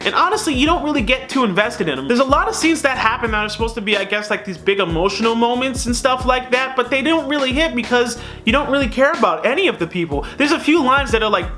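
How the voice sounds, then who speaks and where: Guy doing a casual movie review, high voice, bit of music behind him. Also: animated, fast, hardly any pauses. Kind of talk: casual opinionated review commentary.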